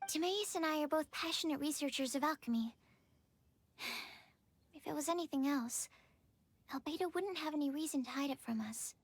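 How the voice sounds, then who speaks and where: A woman's voice: high voice, moderate pace, long pauses, no echo. An actress voicing an earnest, curious young woman studying alchemy, asking politely for help. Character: eager young woman alchemy student, inquisitive.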